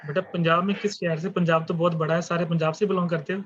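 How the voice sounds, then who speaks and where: A man lecturing online, calling the roll in a calm, fatherly way and addressing his students as "beta". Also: on the high side, quick pace. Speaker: university lecturer. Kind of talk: online class roll call. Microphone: online class call. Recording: dull lo-fi online call audio.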